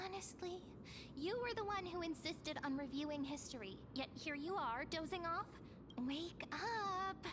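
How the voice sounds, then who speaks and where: Soft, teasing young storyteller. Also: female voice, extremely high pitch, expressive, unhurried, background noise. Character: gentle, playful young woman companion and storyteller. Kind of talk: scripted game narration and dialogue. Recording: game audio with loud music behind.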